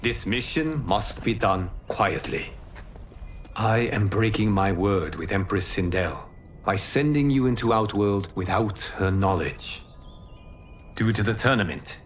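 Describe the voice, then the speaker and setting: A man playing a calm, wise immortal lord who protects Earth, speaking formally and gravely in a video game drama. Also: lowish voice.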